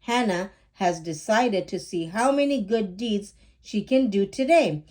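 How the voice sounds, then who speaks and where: A woman's voice: mid-range voice, a little slow, a little mellow, close to an everyday voice. A woman voicing a kindly, busy mother in a children's storybook, with emphatic calling. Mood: explaining.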